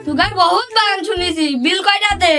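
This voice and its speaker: A woman's voice: extremely high pitch, fairly quick, music in the background. A woman playing a bossy, nagging village wife in a comedy skit, scolding sharply. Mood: irritated scolding.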